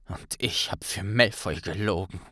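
A man's voice: deep voice, unhurried, pushed and bright, husky, no echo, studio quality, exaggerated voice. A man voicing an injured young wizard, bitter, impatient and intense, in a fantasy audiobook. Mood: angry.

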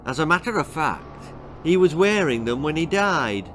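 A man's voice: on the high side, big pitch swings, normal speed, a bit bright, slight background noise, voice pushed up. A man voicing a cryptic, crafty old running coach in a children's storybook, evasive and commanding.

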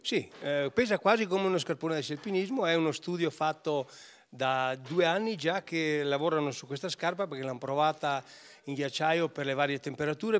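An older man representing a ski brand explains boot features at an indoor booth, hesitating with many fillers and restarts into a handheld mic. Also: fairly high pitch. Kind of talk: technical product explanation. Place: indoor ski test booth, wooden backdrop. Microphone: handheld interviewer mic.

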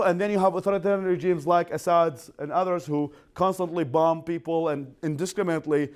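Iraqi man in his 30s, English, slightly high voice, animated classroom lecturer who restarts often. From Iraq. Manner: animated, gestures widely, frequent restarts. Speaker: guest speaker. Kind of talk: university lecture. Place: university classroom.

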